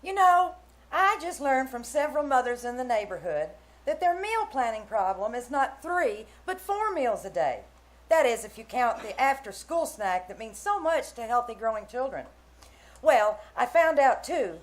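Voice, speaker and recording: High, animated woman radio announcer. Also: normal speed, low-quality recording. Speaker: sponsor announcer. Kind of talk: 1940s radio commercial, scripted and emphatic. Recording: live small-theater stage reading.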